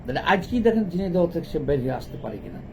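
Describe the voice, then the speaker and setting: Middle aged bangladeshi guy doing political commentary, bit high voice, emphatic choppy phrases, noisy background. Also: big pitch swings, racing, gravelly.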